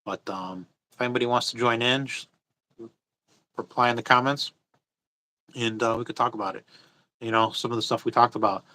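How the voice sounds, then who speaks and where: A man with a sharp, bright voice at an average pitch hosts an informal livestream. He talks off the cuff in a blunt, opinionated way. He often repeats a phrase to drive it home. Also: steady tone, fairly quick, pauses often, low-quality recording. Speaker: host of a livestream. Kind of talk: casual livestream rant and Q and A, off the cuff.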